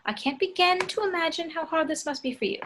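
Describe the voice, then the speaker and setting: A woman's voice: very high-pitched, fast, fairly bright tone, a little hiss or hum. A woman reading the part of an exasperated but level-headed young peacemaker in an amateur table read of a film script. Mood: placating.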